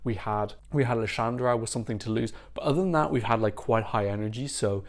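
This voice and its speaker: A man giving casual, excitable reaction commentary on songs, swearing freely as he chats. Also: medium pitch, fast. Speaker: Eurovision reaction YouTuber. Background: faint music playing.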